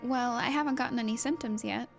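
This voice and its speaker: A woman voicing an earnest, concerned female fighter from a video game, speaking in a polite, measured, serious way. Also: on the high side, creaky, music in the background.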